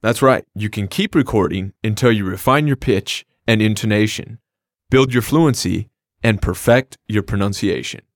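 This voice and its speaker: A man's voice: on the low side, moderate pace, no echo. A man co-hosting a scripted language lesson, explaining phrases in an upbeat, plain way. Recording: dry studio recording.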